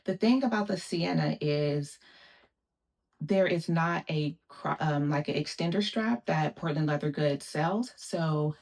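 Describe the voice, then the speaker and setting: A woman with a low, deep-set voice talks through an unboxing in a relaxed, casual way. She keeps an even, monotone delivery and pauses often with little fillers. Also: creaky. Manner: monotone, self-described. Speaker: vlogger.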